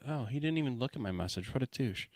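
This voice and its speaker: A man's voice: mid-range voice, lively intonation, quick pace, darkish tone, no room sound. A man co-hosting a podcast from his office, talking in brash, swearing, joking banter. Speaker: podcast co-host. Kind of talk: casual podcast chat. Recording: dry close mic in a home office.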